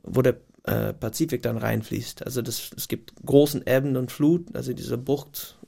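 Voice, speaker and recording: An American man talks in a radio studio in a language that isn't his first, with a gravelly edge to his voice. He stops to think, restarts his phrases and laughs now and then. His voice sits at a middle pitch and comes across relaxed. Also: moderate pace. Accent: American English. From Puget Sound region, USA. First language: not German. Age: adult, past university. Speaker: radio guest. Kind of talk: casual interview talk. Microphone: studio microphone.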